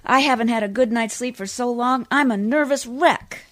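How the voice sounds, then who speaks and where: A woman playing a long-suffering, sharp-tongued wife in a 1940s-style radio comedy. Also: on the high side, moderate pace, no room sound, lightly acted. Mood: weary, wry. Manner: wry, complaining. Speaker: community theatre actor.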